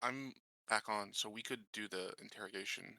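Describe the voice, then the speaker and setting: Low, creaky, bright-voiced male player. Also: a little fast, rough recording. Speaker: tabletop game player. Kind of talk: casual game-table chat.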